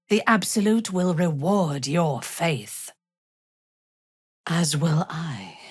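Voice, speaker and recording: A woman playing an imperious, ruthless dark elf warrior in a video game, haughty and commanding, with a husky, breathy delivery. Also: low-pitched, no room sound, clean studio sound, heavily acted. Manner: haughty, commanding, measured, deliberate.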